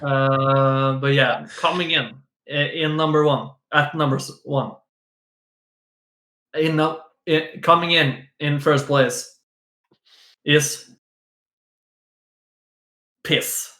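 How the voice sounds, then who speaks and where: A man's voice: fairly high pitch, huge pitch swings, slow, pauses often, sharp tone. A playful, giggly man with a slightly raised voice, rambling and restarting as he jokes around. His voice rings with a roomy echo, as if he were sitting in a bare room. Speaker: guest, esports player. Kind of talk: casual chat on a stream.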